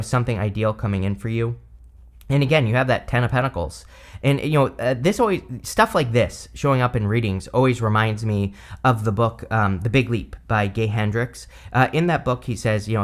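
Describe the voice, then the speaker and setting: A man with a fairly low voice talks through a tarot spread in a loose, meandering way. He leans on fillers and wanders off mid-thought. His voice is a little hoarse and worn from allergies. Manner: relaxed, rambling, many fillers like "you know" and "uh". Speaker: tarot reader. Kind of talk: casual tarot reading to camera.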